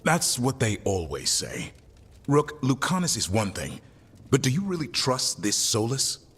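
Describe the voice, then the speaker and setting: Blunt suspicious fighter guy, husky, very up and down, echoing hall. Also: mid-range voice, wildly swooping pitch, measured pace, fairly bright tone, heavily acted. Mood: sceptical, challenging. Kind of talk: video game dialogue.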